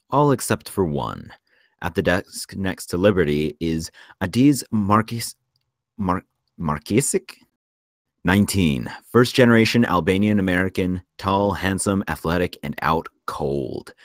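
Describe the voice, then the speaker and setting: Male narrator, low voice, measured scripted storytelling, dry close recording. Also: no echo. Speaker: narrator of a screenplay table read. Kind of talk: scripted narration of stage directions.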